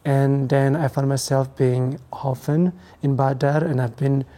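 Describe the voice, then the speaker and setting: A young man, his tone soft and mellow. He talks reflectively and haltingly, pausing to search for words as an interview guest. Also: mid-range voice, flat delivery. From Ethiopia, raised in France. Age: 20s. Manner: reflective, hesitant, halting phrasing. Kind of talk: conversational interview.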